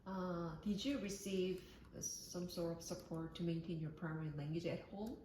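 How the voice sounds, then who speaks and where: A woman's voice: on the low side, steady tone, moderate pace, dark tone, a little hiss or hum. A woman interviewer who asks her questions politely and somewhat hesitantly, with fillers. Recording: roomy echo.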